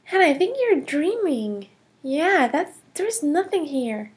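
An excitable little girl, voiced by a woman pipes up with high, eager questions in a toy play story. She sounds keen and quick to join in. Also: heavily acted. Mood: doubtful.

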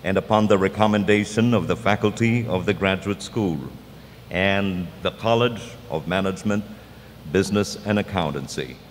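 Very deep-voiced man dean. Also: normal speed. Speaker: college dean. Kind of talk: formal ceremonial address. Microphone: podium microphones. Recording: reverberant hall, faint noise.

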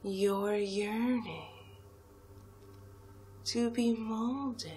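A woman performing a whispered ASMR hypnosis roleplay as a haughty, mesmerizing alien cow, breathy and drawn-out with long pauses. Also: a little high, steady tone, darkish tone, soft background music. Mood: hypnotic, soothing.

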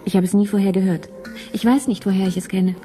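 An actress playing a dreamy, devoted woman time traveller in an intimate radio drama, hushed and breathy. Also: mid-range voice, fairly even intonation, fast, soft background music, close to an everyday voice.

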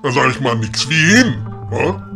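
A man playing a grumpy, sarcastic woodcarver in a comedy audio play, gruff and dry, with a Ruhr area German accent. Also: mid-range voice, big pitch swings, unhurried, loud music behind the voice.

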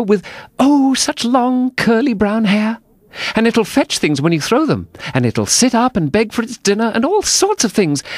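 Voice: mid-range voice, no room sound, voice pushed up. A narrator voicing a polite, chatty little girl in a storybook, with a gushing, earnest manner.

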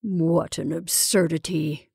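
A woman's voice: fairly low pitch, vocal fry, no echo, clean studio sound. Woman reading as an easygoing, uneasy man. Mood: dismissive. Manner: easy, pleasant, deliberate. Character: man, brother, falsely easy, covering unease.

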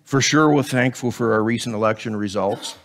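A man's voice: mid-range voice, brisk, vocal fry, fairly bright tone. Scratchy-voiced man preaching from a pulpit. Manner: conversational, joking asides between scripture. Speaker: guest preacher. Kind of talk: sermon. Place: church stage at a pulpit. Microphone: headset mic.

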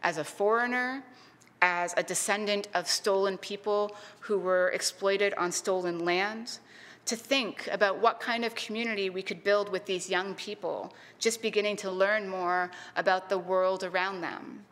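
A middle-aged woman from Canada delivers a prepared history lecture in a voice of medium pitch. She speaks in a measured, earnest way and leans on key words with deliberate emphasis. Her voice carries a long reverb, as in a lecture hall. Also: steady tone, fairly bright tone. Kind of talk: reading a prepared public lecture.

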